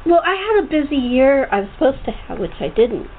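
Female host, higher voice, rambling and halting conversational delivery, thin phone-quality sound with faint noise. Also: sing-song. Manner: rambling, halting, restarts sentences often. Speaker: talk show host. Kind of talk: casual chat interview.

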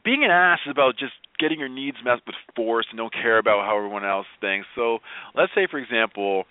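A man's voice: mid-range voice, animated, brisk, dry recording. A man being interviewed as a guest, explaining in a conversational, emphatic way and repeating phrases for emphasis. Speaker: guest, workplace positivity trainer and speaker. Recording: narrow phone-like band, dry.

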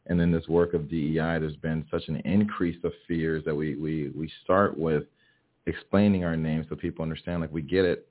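A man's voice: very deep voice, level tone, moderate pace. A man from Miami, Florida, speaking earnestly as a guest in a radio interview, often restarting his phrases. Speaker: guest, DEI strategist. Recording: tinny phone line.

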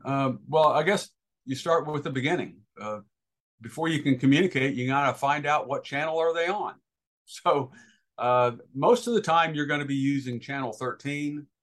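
A man giving informal, practical, step-by-step explanations as a guest expert in an interview, pausing hesitantly as he goes. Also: mid-range voice, a bit bright. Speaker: guest expert, boating safety educator.

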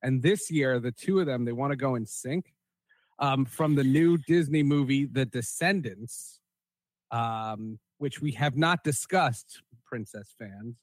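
A man's voice: medium pitch, a little slow, no echo, poor audio. A middle-aged man hosting a podcast in casual conversation. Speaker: podcast host. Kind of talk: casual hosted podcast talk.

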